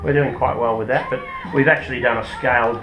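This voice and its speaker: A man's voice: mid-range voice, fairly even intonation, quick pace. A man with an Australian accent explaining products in an informal interview, in a hesitant, explanatory way. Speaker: company product manager being interviewed. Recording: roomy echo with music behind.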